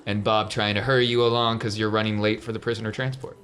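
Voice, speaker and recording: A man's voice: on the low side, flat delivery, fast talker, a bit bright. A man acting as game master, narrating a tabletop role-playing game in a casual, playful way.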